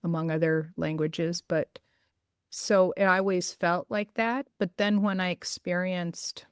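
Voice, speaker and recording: Low-voiced woman podcast host. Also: fairly even intonation, measured pace, smooth, dry recording, clean studio sound. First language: bilingual in Greek. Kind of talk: casual interview chat.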